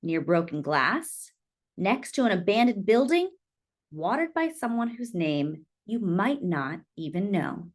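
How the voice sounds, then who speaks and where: Animated woman reading a children's story. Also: mid-range voice. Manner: animated, deliberate storytelling with dramatic emphasis. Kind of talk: expressive read-aloud of a children's picture book.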